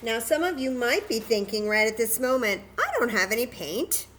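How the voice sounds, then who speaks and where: A woman's voice: fairly high pitch, lively intonation, moderate pace, fairly bright tone, a little hiss or hum. A woman hosting a children's story time, patiently and encouragingly demonstrating a craft step by step in simple, casual words.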